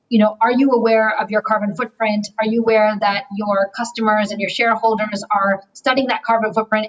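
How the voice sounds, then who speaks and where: A woman banker answering webinar interview questions in a measured, explanatory way with frequent pauses and fillers. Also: a little high, low-quality recording. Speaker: banker on sustainability.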